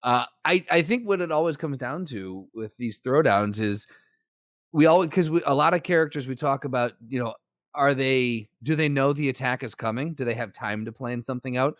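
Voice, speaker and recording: A man's voice: mid-range voice, moderate pace, no echo, studio quality. A man co-hosting a podcast, chatting casually, swearing freely and joking as he argues a point. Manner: profane, animated, joking. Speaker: podcast co-host. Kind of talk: casual chat.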